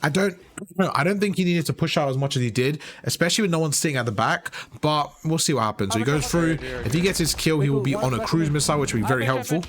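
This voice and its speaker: Male gaming YouTuber, very quick delivery, slightly high pitch, casual slangy commentary, croaky from a sore throat, crowd chatter behind. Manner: casual, slangy, repeats stock phrases. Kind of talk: gameplay breakdown commentary.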